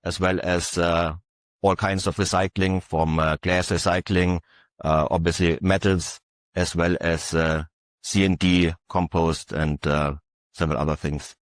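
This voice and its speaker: A man presenting his company's equipment at an industry session, speaking haltingly with many filler uhs. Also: low voice, little pitch movement, no room sound, studio quality. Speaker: conference panelist.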